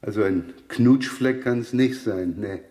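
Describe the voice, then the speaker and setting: A man's voice: lowish voice, takes it slowly, mellow. A man playing a jaded, teasing police inspector in a radio crime drama, muttering casual sarcastic asides. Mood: musing. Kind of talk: 1970s German radio crime drama acting. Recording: echoey room, clear background noise.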